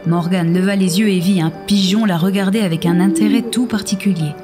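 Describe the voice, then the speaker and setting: A woman's voice: mid-range voice, steady tone, brisk. A woman reading scripted, dramatic story narration for an audio fiction. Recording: music behind the voice.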